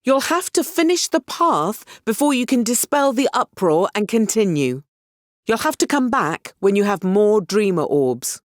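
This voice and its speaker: An actress playing an encouraging, wry mentor in a video game, expressive and instructive as she guides a young hero. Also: medium pitch, measured pace, no echo, studio quality. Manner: expressive, instructive, gently apologetic. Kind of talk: scripted video game character lines.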